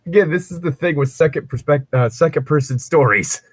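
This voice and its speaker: A man's voice: fairly high pitch, a little slow, husky, no echo, clean studio sound. A man reading a story aloud and breaking off into wry, sweary, rambling casual commentary as co-host. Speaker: co-host of a fan fiction reading show.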